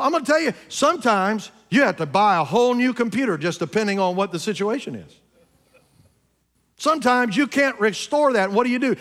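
High-voiced fifty-year-old man preaching. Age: 50s. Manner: emphatic preaching, swinging between loud peaks and low asides; addresses congregation directly with questions. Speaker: pastor. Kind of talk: sermon. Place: church sanctuary.

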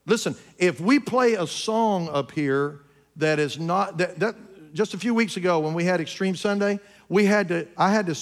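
Pastor, man, 50s, high voice, emphatic preacher who questions the congregation and drops to low asides. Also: normal speed. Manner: emphatic preaching, swinging between loud peaks and low asides; addresses congregation directly with questions. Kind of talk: sermon. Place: church sanctuary.

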